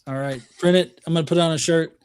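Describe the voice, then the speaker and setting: A man's voice: fairly high pitch, fairly even intonation, fast, a little mellow, clear, resonant tone, dry recording. A man hosting a casual live stream chat, jovial and informal as he talks and reads aloud. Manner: jovial, laughs readily. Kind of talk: casual chat, reading out viewer messages.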